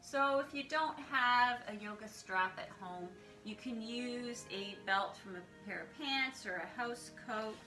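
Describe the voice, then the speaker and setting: A woman leading a guided yoga class, giving calm, step-by-step instructions. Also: on the high side, unhurried, few pauses. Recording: echoey room with music behind. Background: music.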